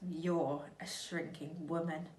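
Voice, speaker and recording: A woman's voice: low voice, level tone, slow, darkish tone, slight background noise. A woman voicing a sly, nasty old man who taunts his wife, in an echoey room. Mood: taunting. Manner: gloating, taunting. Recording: echoey room, mic at a distance.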